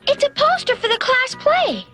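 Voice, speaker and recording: An earnest, anxious cartoon schoolgirl. Her tiny, very high voice is plaintive and lively, over loud music. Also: normal speed. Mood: eager. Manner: plaintive, expressive. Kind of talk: 1970s cartoon voice acting.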